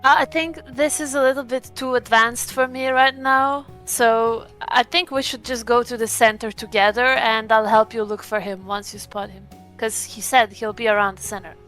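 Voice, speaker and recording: A woman's voice: on the high side, steady tone, normal speed, hardly any pauses, fairly bright tone, faint music behind. A woman playing in a tabletop vampire role-playing game, casually narrating and speaking as her character.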